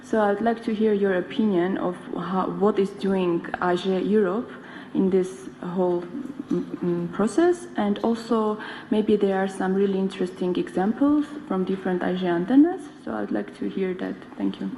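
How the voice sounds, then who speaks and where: In an echoey hall with faint background noise, a woman moderates a panel. Her voice sits at a medium pitch, and she feels her way through her questions a little hesitantly. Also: normal speed, warm tone.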